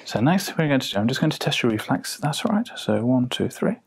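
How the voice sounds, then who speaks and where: Husky man giving clinical exam instructions. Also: mid-range voice, expressive, fast talker. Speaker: clinician testing reflexes.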